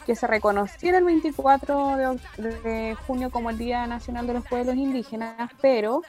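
A woman co-hosting a radio show, earnestly explaining a topic in a measured way. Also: fairly high pitch, darkish tone, faint music behind.

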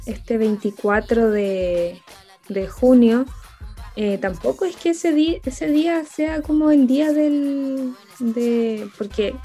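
A woman's voice: on the high side, dark tone. A woman hosting a radio show, chatting casually and hesitantly, often restarting her sentences.

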